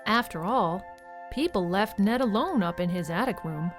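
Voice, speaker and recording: A woman's voice: medium pitch, lively intonation, normal speed, music in the background. A woman narrating a storybook tale for an audio drama, conversationally addressing the listener.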